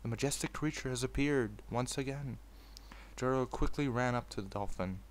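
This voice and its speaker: A man's voice: medium pitch, normal speed, slight background noise. A man in an informal, sweary manner, hosting a video and moving between casual commentary and storytelling. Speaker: video host reading fan fiction aloud.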